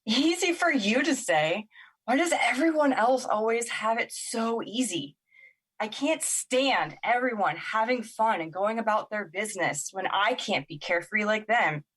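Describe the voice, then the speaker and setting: A woman's voice: on the high side, expressive, a little slow, a bit bright, slightly rough, heavily acted, low-quality recording. A woman playing a confused, weary young woman in an emotional audio drama, questioning and emotionally exposed. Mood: despairing. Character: Olivia, confused, weary, vulnerable young woman finding courage.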